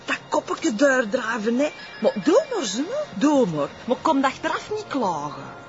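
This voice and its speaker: A grumbling, sardonic Flemish wife, whose pitch rises and drops sharply. She nags in everyday colloquial speech on a dull TV drama soundtrack. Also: big pitch swings, unhurried, over music. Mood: exasperated, nagging. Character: nagging, sceptical Flemish wife.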